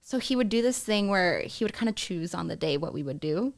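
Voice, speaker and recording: A woman's voice: on the low side, expressive, brisk, vocal fry, no room sound. A young adult runner from Mexico, raised abroad, chatting in an interview, laughing often and quoting her own thoughts. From Mexico, raised in Argentina and France. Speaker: marathon runner guest. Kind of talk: casual interview conversation. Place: podcast studio.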